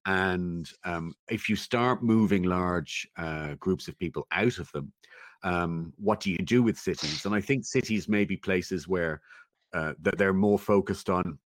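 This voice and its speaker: A man giving considered opinions as a guest in a conversational interview, thoughtful and measured, often pausing as he goes. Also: sits low, no room sound. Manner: thoughtful, measured, halting with pauses. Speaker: interview guest, technology commentator.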